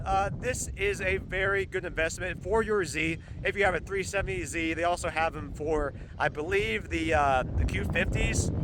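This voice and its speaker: A man with a slightly high voice talks through a casual car-part review, halting and rambling with frequent ums. He is outdoors, and strong gusts batter the mic with loud wind noise. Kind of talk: casual product review vlog. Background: gusting wind.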